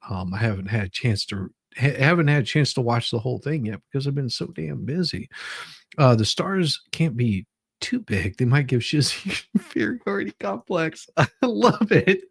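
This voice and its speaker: A man's voice: medium pitch, breathy edge, no room sound, clean studio sound. A man hosting a livestream with casual, rambling chat, often repeating his phrases. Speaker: livestream host.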